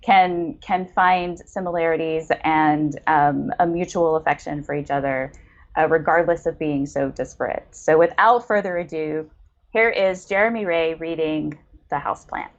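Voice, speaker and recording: A woman's voice: low voice, steady tone, normal speed. A woman hosting a podcast, giving an informal spoken introduction. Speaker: podcast host. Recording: slightly dull, lo-fi sound.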